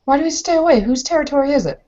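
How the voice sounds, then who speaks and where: A woman's voice: high-pitched, animated, racing, dark tone, dull audio, voice pushed up. A woman playing an earnest young Bavarian bride in a tabletop game, formal, inquisitive and direct. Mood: questioning. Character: earnest, bold young Bavarian kinfolk bride, newly arrived.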